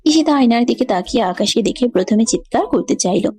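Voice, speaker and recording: Woman narrator with a fairly high voice. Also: animated, fairly quick, mellow. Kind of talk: scripted story narration.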